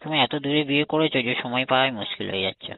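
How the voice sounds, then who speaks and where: A man's voice: mid-range voice, rapid-fire. A man with a Bengali accent chatting casually and intimately on a phone call, asking questions in a coaxing way. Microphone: phone line. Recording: tinny narrowband phone call recording.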